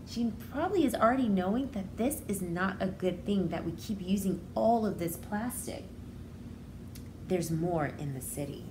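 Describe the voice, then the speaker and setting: A woman teacher reading a story aloud to young children, expressively, pausing to explain things in simple words. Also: fairly low pitch, background noise. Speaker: preschool educator.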